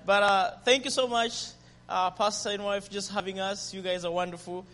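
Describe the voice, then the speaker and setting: A man with a Zambian accent preaching as a visiting missionary pastor. He is lively and friendly and leads the crowd in call-and-response. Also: high-pitched, normal speed. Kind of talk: guest sermon and ministry testimony. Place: church sanctuary. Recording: reverberant church hall.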